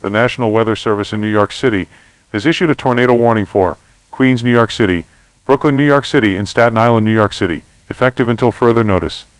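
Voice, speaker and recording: A man's voice: fairly low pitch, fast, no echo, rough recording. A man reading a scripted emergency news announcement about a warning.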